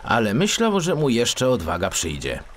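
A man narrating a children's story as an audiobook, in an expressive storytelling style. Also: medium pitch, normal speed, a little hiss or hum.

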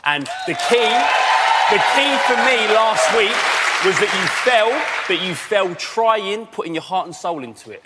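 A man's voice: high-pitched, expressive, measured pace. An earnest, emphatic man in his thirties giving a critique as a competition judge on live TV. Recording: hall reverb, loud crowd noise.

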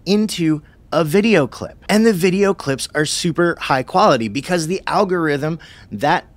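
A man in his thirties hosting a casual, conversational software tutorial, on a close broadcast mic in a small studio. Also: on the high side, lively intonation, moderate pace, no echo. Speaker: video tutorial host. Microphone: close broadcast mic on boom arm.

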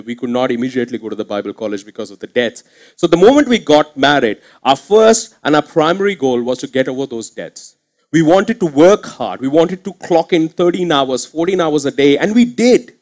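A man in his thirties preaching a sermon on a church stage into a headset mic, earnest and swinging from quiet asides to emphatic points. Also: mid-range voice. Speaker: pastor. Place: church stage with curtain backdrop.

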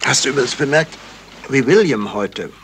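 An actor playing a thoughtful, suspicious amateur sleuth in a deliberate 1970s German radio crime drama style. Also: male voice, medium pitch, animated, fairly bright tone. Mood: pondering. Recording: hissy old radio play recording.

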